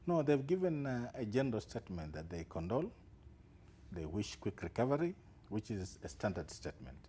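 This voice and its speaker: A man's voice: on the low side, sing-song, a little fast, dark tone, breathy edge. A man, a security analyst guest on live TV news, explaining with assurance and emphatic rises.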